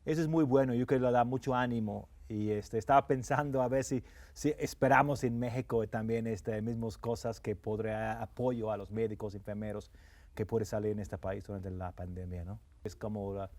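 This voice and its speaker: A man's voice: on the low side, fairly even intonation, normal speed, darkish tone, dry recording. A man from Britain, a native English speaker and journalist guest, talking casually and searching for words. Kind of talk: panel discussion.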